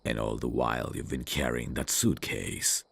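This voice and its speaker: Very deep, gravelly vampire count. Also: male voice, fairly bright tone, exaggerated voice. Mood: gallant, insistent. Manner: slow, courtly, old-fashioned, intimate phrasing. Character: suave male vampire count, courtly, seductive, quietly menacing. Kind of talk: ASMR roleplay acting. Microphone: close dry studio mic.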